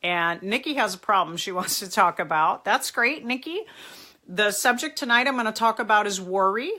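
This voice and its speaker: Chatty, slightly raspy woman host. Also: medium pitch, expressive, normal speed. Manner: chatty, warmly greets viewers by name. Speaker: therapist hosting a live Q&A. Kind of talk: casual live-stream chat. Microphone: phone or webcam, live stream.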